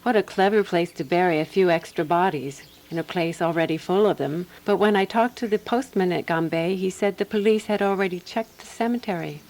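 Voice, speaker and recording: A woman's voice: lowish voice, fairly quick, few pauses, a little mellow, natural voice. Composed woman detective played by an actress in radio drama. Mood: explaining, confident. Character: Alice, calm, practical woman amateur detective narrating her case. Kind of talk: radio drama dialogue and first-person narration.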